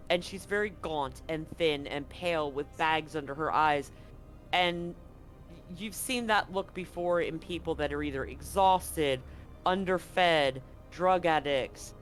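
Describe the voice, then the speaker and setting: A man with a fairly high voice runs a tabletop horror game. He narrates scenes off the cuff, halting as he searches for the next detail. Soft music plays behind him. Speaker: game master.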